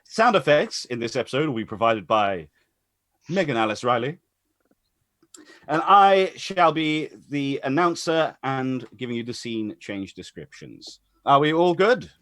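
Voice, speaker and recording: Male host, chatty and rambling, mid-pitched with lively range, close dry sound. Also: a little slow, dry recording. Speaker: host and announcer. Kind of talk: casual live-stream introduction. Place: online group call.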